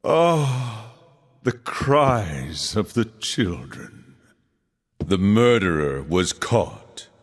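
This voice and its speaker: An actor playing a mock-polite, gleefully macabre haunted-house guide in a horror game, with drawn-out theatrical narration. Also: male voice, lowish voice, sing-song, full character voice. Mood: sinister, playful. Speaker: attraction announcer. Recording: long hall reverb, faint noise.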